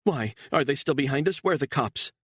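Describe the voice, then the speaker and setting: Guy doing a scared crying actress lady, high and frantic, swings all over. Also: animated, fairly quick, a bit bright, husky, no echo. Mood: pleading, panicked. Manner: high, frantic, tearful pleading. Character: terrified young woman actress, pleading.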